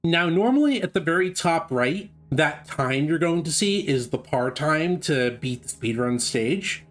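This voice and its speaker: A man's voice: fairly high pitch, expressive, a little slow, faint music behind. A man giving casual, chatty live commentary on a game speedrun, explaining as he goes. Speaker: gameplay commentator.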